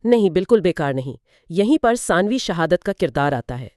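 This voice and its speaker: Casting: female educational co-host, animated intonation, didactic step-by-step delivery, close dry sound. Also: lowish voice, moderate pace, no room sound. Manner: didactic, explains step by step with examples. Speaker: co-host explaining law. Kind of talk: explanatory educational dialogue.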